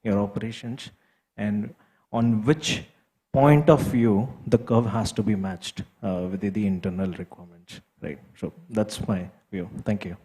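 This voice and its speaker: A man's voice: fairly low pitch, steady tone, unhurried, mellow. A man on a business panel explaining his view, often checking in with "right?". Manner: explanatory, tags statements with "right?". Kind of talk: panel discussion remarks.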